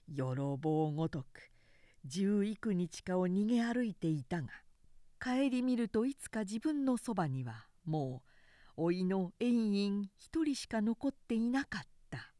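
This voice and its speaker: A woman narrator giving a measured, carefully enunciated dramatic reading of literature. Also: mid-range voice, dark tone, no echo, clean studio sound. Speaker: professional narrator.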